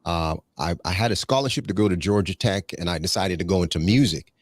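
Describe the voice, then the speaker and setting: A man with a very deep, low voice talks through his thoughts as an interview guest. He rambles, restarts often and leans on fillers, and now and then drifts into a preacherly tone. The sound is close and dry, with no room around it. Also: fast talker, dry recording. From Bahamas. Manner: preacherly, cites scripture. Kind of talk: casual conversational interview.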